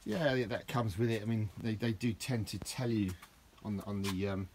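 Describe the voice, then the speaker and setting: Rambling man, low voice, outdoors. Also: fairly even intonation, moderate pace, background noise. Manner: rambling, with many restarts and fillers. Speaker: DIY vlogger. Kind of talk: casual hands-on demonstration. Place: outdoors in a garden.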